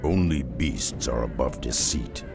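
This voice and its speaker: A hulking half-orc beastmaster with an extremely low, gravelly growl that crawls along at a slow pace. He speaks in grave, terse lines of a game cutscene, with orchestral music swelling clearly behind him. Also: animated, heavily acted. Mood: grim. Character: gruff half-orc beastmaster, solitary wanderer.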